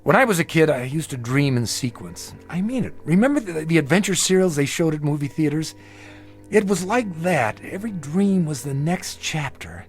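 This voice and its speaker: A man's voice: fairly high pitch, lively intonation, moderate pace, soft background music, lightly acted. A man playing a troubled, earnest middle-aged dreamer in a radio drama, confiding in an intense, hesitant, brooding way. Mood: earnest, insistent. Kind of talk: radio drama acting, confessional narration.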